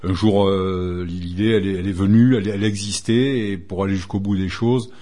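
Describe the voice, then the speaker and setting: A man's voice: low-pitched, steady tone, brisk, mellow. An older man, founder of a rally club, telling a long anecdote in a radio interview, rambling with many fillers and restarts.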